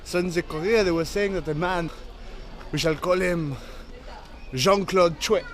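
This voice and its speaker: A man's voice: high-pitched, unhurried. A man talking to the camera in a casual travel vlog, chatty and playful. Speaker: travel vlogger.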